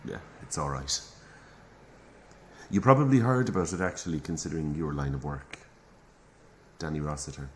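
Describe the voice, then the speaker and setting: An irish priest, pretty deep voice, chatty and a bit awkward, radio play style. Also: male voice, normal speed, long pauses, slight background noise. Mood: subdued, sombre. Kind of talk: naturalistic audio drama acting.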